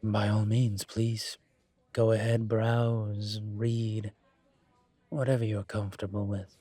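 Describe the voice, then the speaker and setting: A man playing a calm, courteous incubus professor and investigator in an intimate audio roleplay, speaking considerately with careful pauses. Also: lowish voice, dry recording. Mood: gentle, serious. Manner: measured, considerate, hesitant pauses. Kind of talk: scripted audio roleplay, intimate one-sided conversation.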